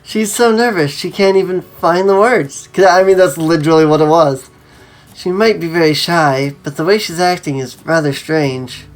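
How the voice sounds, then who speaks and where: A woman's voice: fairly low pitch, normal speed. A woman reading a story aloud and breaking off for casual, conversational commentary. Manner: conversational asides. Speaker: host reading a visual novel aloud.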